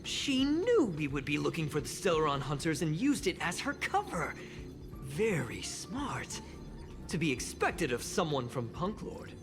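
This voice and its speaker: An actor playing an excitable young space station investigator who puzzles aloud in scripted game dialogue. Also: mid-range voice, measured pace. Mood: excited. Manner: animated, pondering aloud. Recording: background music under dialogue.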